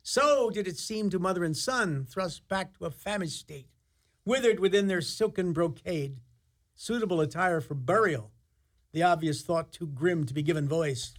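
A man's voice: sits high, normal speed, lightly acted. A man narrating a fairy-tale radio play in an ornate, dramatic, theatrical style. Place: radio studio.

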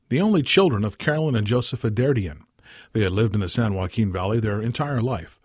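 Low, husky male story reader. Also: dry recording, studio quality. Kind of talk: scripted short story narration.

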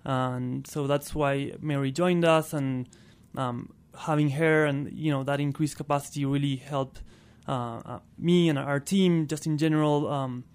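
Male radio guest, Argentine Spanish accent, slightly high and even-toned, conversational. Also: a little slow, few pauses, clear, resonant tone. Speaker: interview guest, clean transportation programme worker. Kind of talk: casual radio interview.

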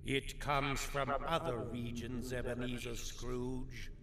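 An actor playing a tormented, mournful ghost in a radio play, with solemn, drawn-out, wailing declamation. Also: male voice, mid-range voice, flat delivery, a bit bright. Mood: weary, sorrowful. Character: tormented ghost of Scrooge's dead business partner, mournful, warning. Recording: roomy, long reverb, clearly noisy, rattling metal.

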